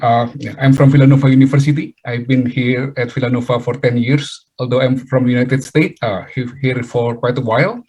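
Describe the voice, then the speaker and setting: A middle-aged man with an Indonesian accent giving a webinar lecture over a video call, halting, with many fillers and restarts. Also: medium pitch, a little mellow, slightly rough, rough recording. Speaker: guest speaker, chemical engineering professor.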